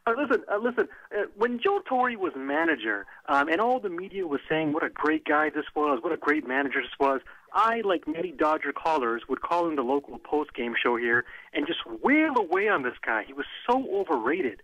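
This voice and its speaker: A man calling a sports radio show, arguing his point in an animated way and often cutting in. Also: on the high side, fairly quick, no room sound. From Los Angeles. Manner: animated, argumentative, interrupts. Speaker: caller. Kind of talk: excited sports radio call. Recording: phone line.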